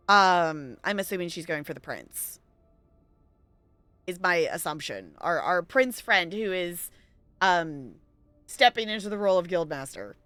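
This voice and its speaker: A woman chats playfully as she streams a game. Her voice is bright and very lively in pitch, and her style is casual. Faint music plays underneath. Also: clean tone, no echo. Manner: playful, chatty, giggly. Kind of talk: casual live gameplay commentary.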